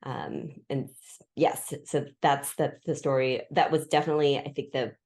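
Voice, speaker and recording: A woman's voice: very deep voice, moderate pace, darkish tone. A woman in her forties, raised in the US, telling her life story as an interview guest with thoughtful restarts and fillers. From Vietnam, raised in the US. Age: 40s.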